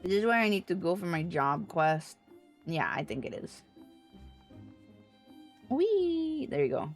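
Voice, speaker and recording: A woman chats casually while streaming a fantasy game. Her delivery is playful and very expressive, taking its time, with faint music underneath. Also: lowish voice, a little slow. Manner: playful, animated. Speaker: livestreamer playing a fantasy game.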